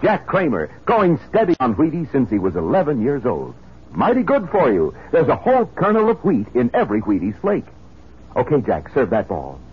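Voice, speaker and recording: A man delivering a 1950s radio advertisement in punchy, upbeat patter as a pitchman. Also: huge pitch swings, moderate pace, husky. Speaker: commercial pitchman. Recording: muffled old radio broadcast.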